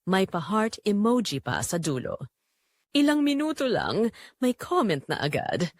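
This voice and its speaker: A Filipino woman narrates a scripted drama story. Her mid-pitched voice moves up and down in an animated way, and the sound is dry and close, with little room around it. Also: a little slow, no echo.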